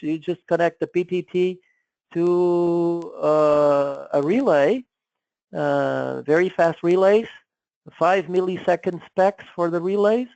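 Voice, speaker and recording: A man with a fairly high, creaky voice explains technical matters informally. He speaks haltingly, doubling back on words. The recording is dry and a bit muffled. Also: a little mellow, no echo. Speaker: guest presenter explaining a radio contest technique.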